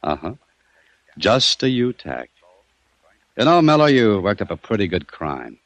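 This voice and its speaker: A man's voice: on the low side, very animated, a little slow, no echo. An actor playing a shrewd, cool-headed investigator in an old-time radio crime drama, commanding and sardonic as he needles his suspect. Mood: hard, triumphant. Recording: dull vintage radio recording.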